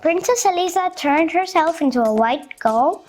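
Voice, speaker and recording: A woman reading a storybook aloud to children, with expressive, carefully phrased narration. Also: very high voice, normal speed, noisy background.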